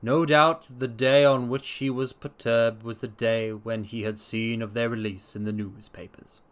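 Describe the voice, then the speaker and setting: A man voicing a polite, puzzled gentleman doctor in a dramatic story reading, speaking in a measured, deliberate way. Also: medium pitch, fairly even intonation, natural voice. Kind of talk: dramatic audiobook-style character reading.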